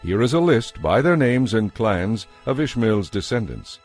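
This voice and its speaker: Low-voiced man narrating scripture. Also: big pitch swings, unhurried, a little mellow. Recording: music behind the voice.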